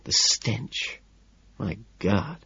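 A man's voice: medium pitch, big pitch swings, normal speed, slightly rough, muffled recording, pitched above the performer's own voice. A man voicing a smug, callous wealthy man with a volatile temper in an audiobook, condescending and emphatic. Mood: quiet disgust. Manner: condescending, emphatic, swinging from soothing to scolding.

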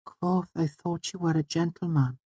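Male reader as whimsical young girl, high soft head voice, dainty precise phrasing, playful, storybook character, dry studio sound. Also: fairly even intonation, moderate pace, slightly rough, no echo. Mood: mock indignant. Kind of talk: audiobook character voice.

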